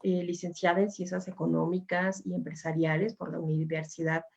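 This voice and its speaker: A woman's voice: lowish voice, little pitch movement, a little fast, vocal fry, a little mellow, breathy edge. A woman moderating a webinar, introducing the guests informally with many fillers over a video call. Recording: dull lo-fi video call audio.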